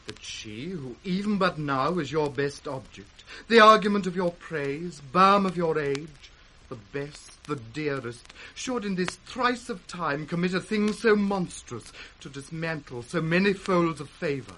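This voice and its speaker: A noble and ardent king in classical radio drama. His voice is bright and a little high, lofty and earnest in its declamation. A faint hiss of old radio lies under it. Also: animated, measured pace, poor audio. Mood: incredulous. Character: noble, ardent king of France, honourable suitor.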